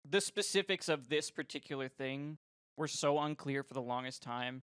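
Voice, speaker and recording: Smooth-voiced young man, hesitant. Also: mid-range voice, normal speed, a bit bright, no echo, studio quality. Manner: hesitant, filler-heavy, searches for words. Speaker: game dev team member helping the writers. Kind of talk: casual convention panel chat.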